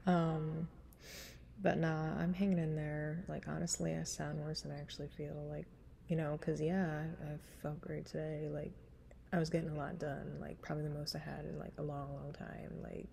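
A woman's voice: level tone, normal speed, warm tone, a little hiss or hum. A woman in her thirties with a smoky voice and a stuffy nose, chatting frankly and rambling with laughs into a webcam in a small room. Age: 30s. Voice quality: smoky, husky low voice. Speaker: singer vlogger. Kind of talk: casual chat before a cover song.

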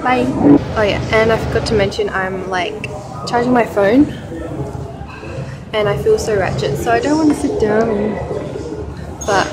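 A woman's voice: medium pitch, very noisy. A young woman in her twenties talking casually into her phone, rambling with lots of fillers and self-corrections, first showing her packing and then filming herself in an airport terminal. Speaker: vlogger. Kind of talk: casual vlog chat. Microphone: phone held at arm's length.

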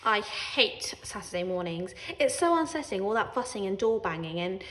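A woman's voice: mid-range voice, animated, moderate pace, natural voice, rough recording. A woman voicing an indignant, sarcastic pet cat telling its diary in a children's storybook, with dramatic expression. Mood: grumpy.

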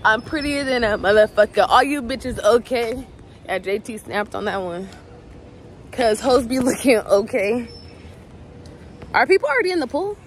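A woman's voice: fairly high pitch, lively intonation, normal speed, pauses often, a little hiss or hum. A woman in her twenties chatting loosely and profanely in a travel vlog, filmed on a handheld phone at a resort. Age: 20s. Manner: loose, profane, giggly banter. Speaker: vlogger. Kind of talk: casual travel vlog chat. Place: outdoors at a resort at night. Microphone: phone held at arm's length. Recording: loud music over the voice.

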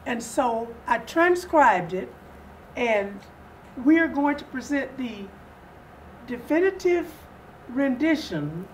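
Slow, deliberate older woman, distantly miked. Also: high voice, big pitch swings. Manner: deliberate, measured, formal. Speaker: guest, readers theater director. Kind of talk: interview answers. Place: furnished sitting-room set indoors. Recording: distant mic, audible room noise.

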